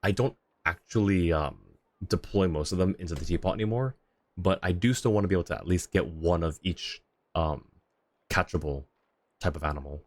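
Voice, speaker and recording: A man's voice: deep voice, brisk. A man livestreaming a game, talking casually and conversationally as he reads out and answers chat comments. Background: faint game music.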